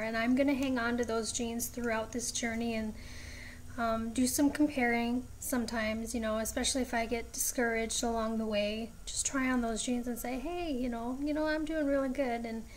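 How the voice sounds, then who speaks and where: A woman vlogging a casual update, chatty and rambling with frequent ums, her voice raspy at times. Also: fairly high pitch, a little hiss or hum, poor audio.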